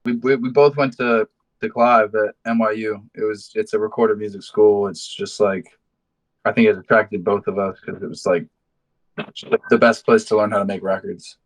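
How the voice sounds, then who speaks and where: A man's voice: fairly low pitch, little pitch movement, moderate pace, low-quality recording. A man in his twenties from London who later moved to the States, chatting casually in an interview with a laid-back, slangy, sweary way of telling stories. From London, England, then United States from high school. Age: 20s. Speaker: band musician being interviewed.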